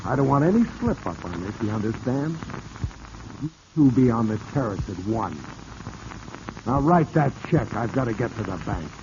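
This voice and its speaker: Muffled 1940s radio schemer, smooth and commanding. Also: male voice, medium pitch, big pitch swings, normal speed, long pauses. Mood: hard, threatening. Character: scheming male blackmailer, smooth, menacing, falsely sweet to women. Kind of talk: 1940s radio drama acting. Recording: muffled, noisy vintage 1940s radio recording.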